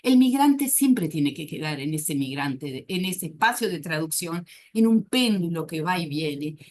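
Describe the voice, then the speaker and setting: A woman psychoanalyst speaking on a conference panel, thoughtful and often pausing to search for words. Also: on the low side. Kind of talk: academic conference talk.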